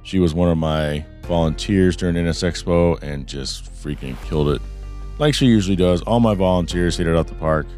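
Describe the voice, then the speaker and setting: A man hosting a casual podcast, hoarse and losing his voice, talking in a loose, rambling way with some swearing. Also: low-pitched, a little fast, darkish tone, faint music behind. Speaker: podcast host. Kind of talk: casual chat. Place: studio.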